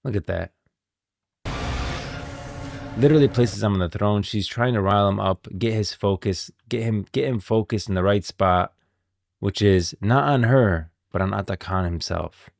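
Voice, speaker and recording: A man's voice: on the low side, moderate pace, vocal fry, no echo. A man hosting a stream of game lore commentary, moving between casual talk and scripted reading. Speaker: streamer, host.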